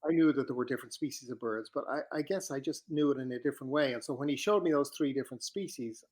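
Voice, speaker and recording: A man's voice: mid-range voice, a little mellow. Reflective Irish man in his fifties. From Ireland. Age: 50s. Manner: reflective, with frequent restarts and pauses. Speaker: podcast guest. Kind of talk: relaxed conversational storytelling.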